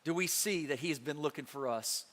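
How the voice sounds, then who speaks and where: A man preaches with energy, firing rhetorical questions at his listeners and calling for a response. His voice is bright, forceful and slightly high. He sounds across a large church hall with long reverb and soft music beneath. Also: brisk. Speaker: pastor. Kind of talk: Easter sermon, dialogue with co-pastor.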